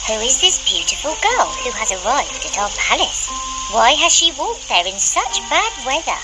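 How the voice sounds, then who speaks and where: Woman as an animated, high-voiced storybook royal lady. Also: moderate pace, poor audio. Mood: inquisitive, instructing. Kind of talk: expressive children's storybook acting. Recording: music under the voice.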